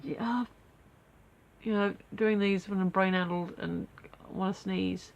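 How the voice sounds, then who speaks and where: A woman talks into her camera at home, her nose stuffed up and snuffly from a cold. She rambles along casually, laughing at herself and filling gaps with ums and sighs, in a mid-pitched voice. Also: fairly even intonation, slow, gravelly, lo-fi sound. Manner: rambling, self-deprecating, many fillers. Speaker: vlogger. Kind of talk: casual daily video diary.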